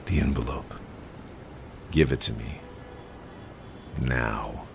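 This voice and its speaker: A man playing a stern, distrustful vigilante in an audio roleplay, with a gravelly growl and terse, commanding delivery. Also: a bass voice, slow pace, music in the background, full character voice. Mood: stern, urgent. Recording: loud noisy ambience behind the voice.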